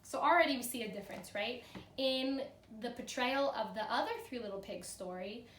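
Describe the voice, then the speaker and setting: A woman teacher explaining a story to children in an animated way, often checking understanding with short questions. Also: a little high, normal speed. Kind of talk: explanatory read-aloud commentary for children. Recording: roomy echo, far from mic, faint noise.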